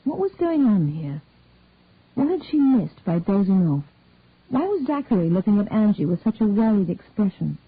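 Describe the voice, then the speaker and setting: A woman's voice: medium pitch, big pitch swings, moderate pace, slightly rough. A woman reading a story aloud as scripted narration, like an audiobook reader. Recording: narrowband, tinny phone-like sound.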